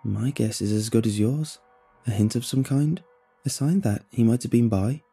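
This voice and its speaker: A man's voice: medium pitch, moderate pace, vocal fry, dark tone, breathy edge, soft background music. A man voicing an anxious young woman who blames herself and speaks earnestly in a storybook. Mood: regretful. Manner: earnest, self-reproaching. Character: anxious, guilt-ridden young woman guide.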